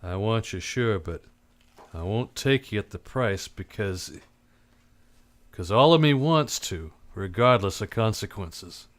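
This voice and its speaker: A man's voice: on the low side, animated, unhurried. Man playing a hard-boiled, cynical private detective. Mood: torn, cold. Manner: terse, blunt, slangy tough-guy delivery. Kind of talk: live old-time radio drama acting.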